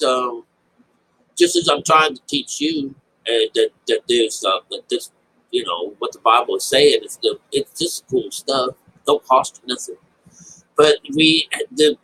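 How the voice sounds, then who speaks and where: A man's voice: medium pitch, pushed and bright, breathy edge, rough recording. Rambling man giving informal Bible commentary. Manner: rambling, halting, repeats phrases; colloquial, folksy, mild swearing. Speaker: amateur Bible teacher.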